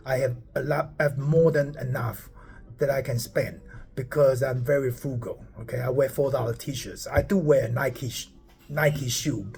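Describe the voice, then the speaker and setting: A man's voice: medium pitch, vocal fry, darkish tone. A man in his forties from Taiwan, a startup founder talking casually to camera on his phone, rambling and often stopping to ask "okay?". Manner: rambling, repeats words and asks "okay?" often.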